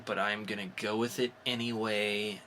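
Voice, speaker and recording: A man's voice: fairly low pitch, level tone, normal speed, sharp tone, slight background noise. A man co-hosting a podcast in casual, informal banter. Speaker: podcast co-host.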